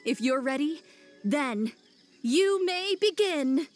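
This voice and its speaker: A solemn female guide in a video game, speaking slowly and formally. Her voice is high, with clear music playing behind it. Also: lively intonation. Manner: formal, deliberate.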